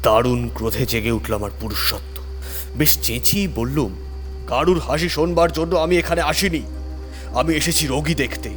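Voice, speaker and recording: A man's voice: medium pitch, normal speed. A man narrating a scripted horror story in the first person, with a suspenseful, theatrical delivery. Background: music under the voice.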